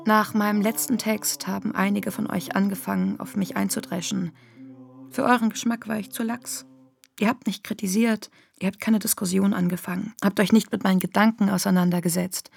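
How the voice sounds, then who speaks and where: An actress playing an embattled, defiant feminist internet activist, delivering a sardonic, polemical manifesto in a radio drama with a husky voice. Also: female voice, medium pitch, little pitch movement, fairly quick. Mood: sardonic, accusing.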